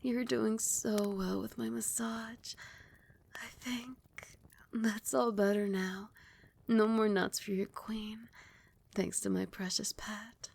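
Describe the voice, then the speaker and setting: Woman as a creaky, breathy doting vampire queen. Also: medium pitch, level tone, a little mellow. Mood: pleased, tender. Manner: slow, formal, coaxing pet names. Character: doting, commanding vampire queen, regal and indulgent mistress. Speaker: ASMR roleplay voice actor. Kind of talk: ASMR roleplay, intimate scripted acting.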